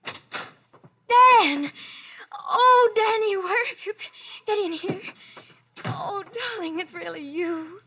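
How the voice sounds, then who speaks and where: High-voiced, emotional 1940s radio sweetheart. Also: female voice, lively intonation, takes it slowly, pauses often, pushed and bright, heavily acted. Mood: relieved, anxious. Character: devoted, fearful nurse, sweetheart of a wanted man. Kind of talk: 1940s melodramatic radio acting. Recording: dull, lo-fi vintage radio recording.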